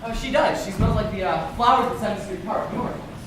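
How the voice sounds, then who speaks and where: A man's voice: sits high, quick pace, lightly acted. An actor playing a brash, digressive, restless inner voice of a young man, in a stage play. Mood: excitable, nerdy. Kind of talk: live stage play acting. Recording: echoey, noisy distant stage recording.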